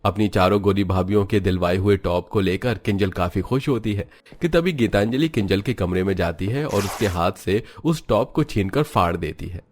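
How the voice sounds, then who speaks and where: Guy narrating a cartoon story, lowish voice, reading from a script. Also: normal speed, a little hiss or hum.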